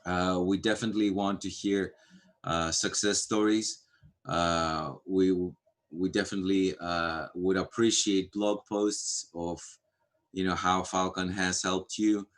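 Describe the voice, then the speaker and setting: A man from Greece, a Greek native speaker, talking casually on a video-call livestream, pausing often with fillers and restarts. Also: sits low, fairly even intonation. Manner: halting, many fillers and restarts. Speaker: framework core team member.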